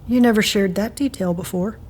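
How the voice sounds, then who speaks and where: A woman's voice: mid-range voice, brisk, darkish tone, lightly acted. A woman playing a lonely, dejected, sympathetic farm resident in an audio drama, subdued and confiding. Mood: concerned. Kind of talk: scripted audio drama acting.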